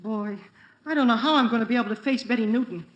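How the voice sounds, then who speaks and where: An actor playing a stubborn, whiny teenage schoolboy sidekick who complains indignantly, in old radio comedy style. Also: male voice, very high-pitched, fast talker, fairly bright tone, highs cut off. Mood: exasperated. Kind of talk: 1940s radio comedy acting.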